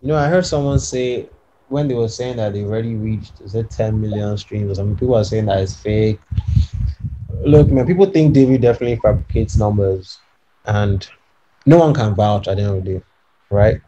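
A man's voice: fairly low pitch, a little fast, lo-fi sound, slight background noise. A young man co-hosting a casual podcast over a video call from home, arguing his point emphatically and often checking if he is understood. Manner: animated, emphatic, persuasive, often asks "you know what I'm saying". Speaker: podcast co-host. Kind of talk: casual debate among friends.

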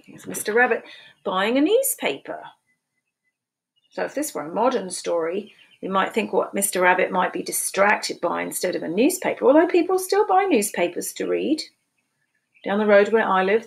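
A woman in her fifties reading picture books aloud to preschoolers in a slow, sing-song storytime voice, recorded on a webcam in a small room at home. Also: medium pitch, expressive, creaky, husky, rough recording. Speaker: children's book reader. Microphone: webcam at desk.

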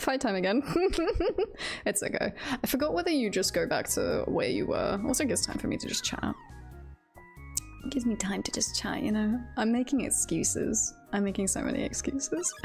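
Woman vtuber chatting over a game, creaky voice, repeats herself, game music in back. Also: mid-range voice. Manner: repeats short phrases, jokey, swears casually. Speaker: livestreamer, VTuber. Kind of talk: casual gameplay commentary. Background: video game music.

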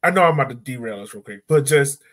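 An American man with a mid-pitched voice hosts a sports podcast. He keeps to casual, bantering conversation, speaking over a video call from home. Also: lively intonation, fast, fairly bright tone. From Richmond, Virginia, United States. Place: room at home.

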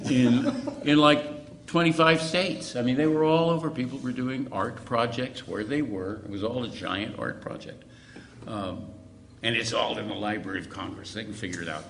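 A man's voice: mid-range voice, moderate pace, gravelly, fairly bright tone. An older man with a casual way of talking, reminiscing as a guest in a live panel interview. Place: on stage in a hall. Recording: echoey stage, faint noise.

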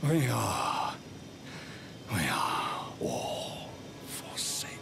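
An old, scornful omen king from a fantasy game, speaks in a gravelly half-whisper. His archaic pronouncements come very slowly and grandly, over loud orchestral music. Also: medium pitch, big pitch swings, heavily acted. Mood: weary, bitter. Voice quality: gravelly, breathy rasp. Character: aged cursed demigod king, bitter, proud, scornful. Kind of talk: dramatic video game villain acting. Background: loud dramatic music.

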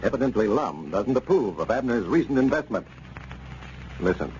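A man's voice: medium pitch, very animated, moderate pace, a little mellow. An American man announcing in 1930s radio style, with a polished, conversational sales delivery. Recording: muffled, noisy 1930s radio recording.

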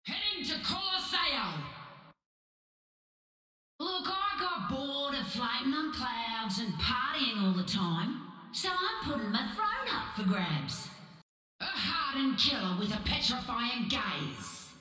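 Booming, high-voiced brash goddess announcer. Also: female voice, big pitch swings, takes it slowly, sharp tone, far from the mic, exaggerated voice. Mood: smug, bored. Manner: boastful, taunting, theatrical. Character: brash, mocking warrior goddess ruling Olympus. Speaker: game announcer. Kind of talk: video game match announcer. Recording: booming echo over game music.

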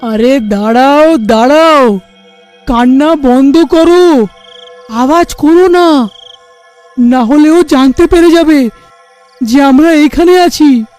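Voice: high-pitched, slow, pauses often, darkish tone, faint music behind. A performer voicing a frightened village husband in a horror cartoon, urgent and fretful. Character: frightened village husband urging hushed caution and escape.

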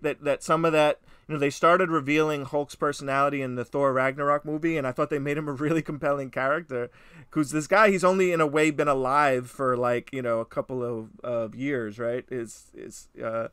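A man's voice: medium pitch, a bit bright, clean tone, no room sound, clean studio sound. A man co-hosting a casual film review podcast, talking emphatically with swearing and frequent checks for agreement. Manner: emphatic, profane, peppered with "you know" and "right?".

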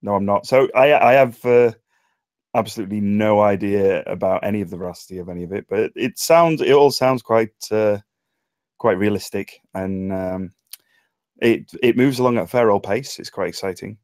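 A man's voice: fairly low pitch, normal speed, a little mellow, no echo. An English man giving informal script feedback in hesitant phrases with dry humour. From England. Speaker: table read participant giving script feedback. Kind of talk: informal spoken critique. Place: remote online voice call.

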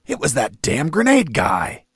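A man playing a gruff, cocky prisoner action hero in a video game, telling his story in a deadpan drawl. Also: fairly high pitch, measured pace, heavily acted. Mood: recalling, irritated.

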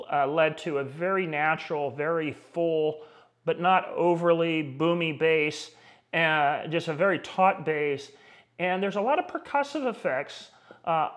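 A middle-aged man giving a conversational, somewhat halting review of audio equipment. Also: fairly high pitch, fairly bright tone.

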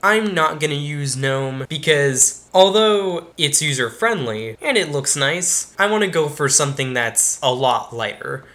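A young man with a casual, explanatory manner walks viewers step by step through a software install in a tutorial. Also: fairly high pitch, expressive, measured pace, hardly any pauses. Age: young adult. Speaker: tech YouTuber. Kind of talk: screen-recorded tutorial walkthrough. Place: small room indoors.

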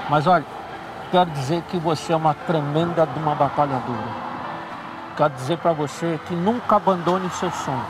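Middle-aged man, calm earnest TV host. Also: fairly high pitch, very noisy. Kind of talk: emotional reveal speech. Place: outdoors at a city bus stop. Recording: TV segment with music behind.

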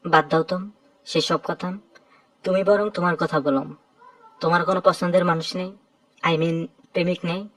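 A woman voicing a calm, gently teasing young male airline pilot in a romantic audio story. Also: on the low side, moderate pace, long pauses, no echo, far from the mic, pitched below the performer's own voice, low-quality recording.

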